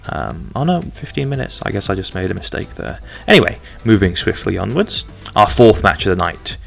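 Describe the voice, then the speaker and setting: Low gruff guy rambling chattily over his game, faint music behind. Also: sing-song, a little fast. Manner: chatty, rambling, self-deprecating asides. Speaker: gaming video host. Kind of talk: casual game commentary.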